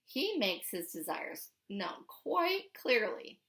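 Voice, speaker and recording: A woman voicing a calm, affable scientist father in a storybook, with emphatic reassurance. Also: mid-range voice, slow, heavily acted. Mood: reassuring. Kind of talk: storybook character reading.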